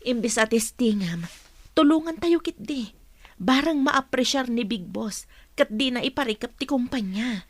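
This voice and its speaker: A senior office woman, slightly high in pitch and recorded close and dry. She instructs in a businesslike, supportive way, with the clear intent of radio drama acting. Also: lively intonation, normal speed, no echo. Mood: concerned.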